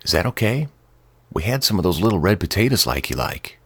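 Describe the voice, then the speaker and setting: A nervous, eager-to-please woman in her thirties, as a man reads her, lifting his very deep voice higher into a soft, anxious plea. The gravelly edge of his voice still shows, recorded close and dry. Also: very animated, a little fast, no echo, heavily acted. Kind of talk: audiobook character voice.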